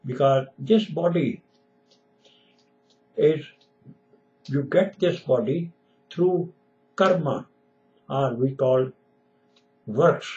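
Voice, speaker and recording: Older man, native Punjabi, slow emphatic home sermon, mellow tone, dull webcam sound. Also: medium pitch. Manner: emphatic, repetitive, gestures with hands. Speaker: preacher. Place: room at home, window behind.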